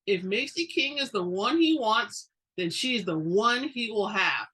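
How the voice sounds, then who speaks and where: Woman playing a sharp-tongued old great-grandmother. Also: medium pitch, lively intonation, unhurried, breathy edge, low-quality recording. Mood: determined. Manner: doting but snappish.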